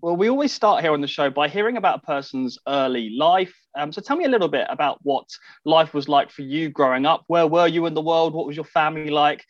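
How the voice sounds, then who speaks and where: A man's voice: fairly high pitch, expressive, a little fast, dry recording. A man with a British accent presenting and interviewing as a radio show host, in a slightly dull, close recording. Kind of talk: scripted introduction and conversational interviewing.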